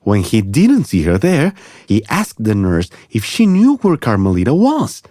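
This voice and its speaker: A man narrating a children's story from a script in an expressive storytelling manner. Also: mid-range voice, measured pace, dry recording, clean studio sound.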